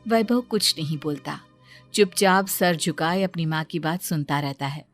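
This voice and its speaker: A woman's voice: sits low, a little slow, soft background music. A woman reading scripted narration for a Hindi cartoon story.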